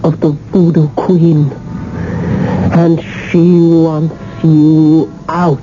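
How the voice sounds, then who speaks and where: Radio play role: proud, menacing voodoo woman, imperious and deliberate, on a muffled, noisy broadcast. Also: sits low, flat delivery. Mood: ominous. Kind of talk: radio drama acting.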